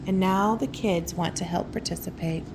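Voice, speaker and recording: A woman reading a children's story aloud in a casual, expressive way, with conversational asides. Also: lowish voice, normal speed, background noise. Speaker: storytime reader.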